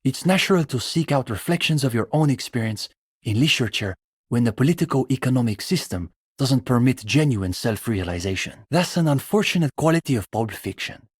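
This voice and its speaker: Earnest, pretentious student radical, husky voice. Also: male voice, mid-range voice, expressive, a little fast, dry recording, studio quality. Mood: earnest, intellectual. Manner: bookish, opinionated, academic phrasing. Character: earnest, pretentious young male communist student intellectual. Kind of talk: video game character dialogue.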